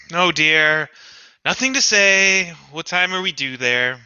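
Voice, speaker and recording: A man's voice: high-pitched, sharp tone, smooth, no echo, full character voice. A man playing a timid, bookish middle-aged husband in a live radio drama reading, hesitant and pleading. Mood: meek. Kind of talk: live reading of an old-time radio script.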